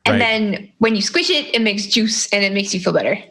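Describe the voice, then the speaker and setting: A woman's voice: mid-range voice, lively intonation, a little fast, fairly bright tone. A woman co-hosting a casual gaming podcast, giving teasing, mock-serious advice. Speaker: podcast co-host.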